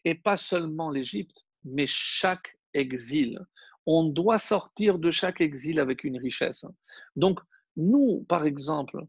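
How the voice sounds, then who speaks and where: Gravelly rabbi teaching emphatically. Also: male voice, on the high side, no room sound, dull audio. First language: not French. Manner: emphatic, addresses listeners directly. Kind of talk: Torah class.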